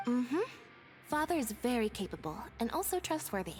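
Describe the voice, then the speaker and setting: A poised young lady from a video game, speaking fairly high with composed, gracious politeness. Soft background music plays behind her measured lines. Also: sing-song. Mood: reassuring. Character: poised, gracious young lady who hosts tea parties.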